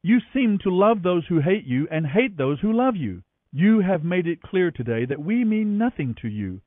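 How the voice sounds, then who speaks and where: A man voicing a stern army commander who forcefully scolds his king in a dramatized scripture reading. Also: high-pitched, very animated, normal speed, voice pushed up. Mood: indignant, stern. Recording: radio broadcast with echo, narrow band, music behind.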